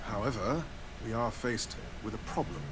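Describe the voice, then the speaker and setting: A man's voice: lowish voice, normal speed, close to an everyday voice. A man playing a formal, courteous and cautious colonial diplomat in a video game. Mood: formal, concerned. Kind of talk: video game dialogue acting. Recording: very noisy game capture.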